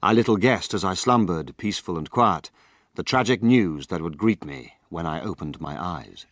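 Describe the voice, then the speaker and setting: Lowish, measured male storyteller. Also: animated, a bit bright, no room sound, clean studio sound. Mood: grave storytelling. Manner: formal, measured, literary. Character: measured male narrator recounting a mystery. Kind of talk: scripted first-person drama narration.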